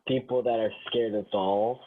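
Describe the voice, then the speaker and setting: A man's voice: on the low side, fairly even intonation, moderate pace, close to an everyday voice. A man playing a sarcastic, dismissive sitcom group leader with a dry delivery in a table read. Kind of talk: sitcom script read aloud. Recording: thin phone-like sound.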